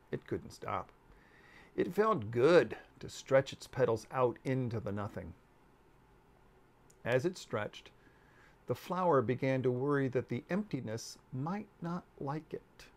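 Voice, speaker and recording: Male storybook narrator, fifties: slow, deliberate pacing, widely varied intonation, dramatic pauses. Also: medium pitch, vocal fry, darkish tone. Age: 50s. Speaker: author reading aloud. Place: book-lined room at home.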